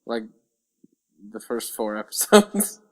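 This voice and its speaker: A man's voice: mid-range voice, big pitch swings, slow pace, a little mellow. A man co-hosting a casual pop-culture podcast, chatting in an easygoing, inquisitive way. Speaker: podcast co-host.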